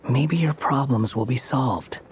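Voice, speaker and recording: A man's voice: medium pitch, a little slow, heavy background noise, lightly acted. A man playing an aloof, sardonic young man in a video game, with a dry, haughty delivery. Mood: bitter.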